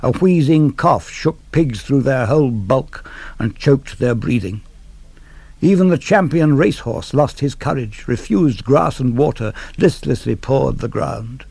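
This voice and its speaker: A man giving a dramatic radio reading of classical verse, solemn, measured and deliberate. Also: medium pitch, animated, creaky, a little mellow, slightly rough, no echo. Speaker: reader of poetry.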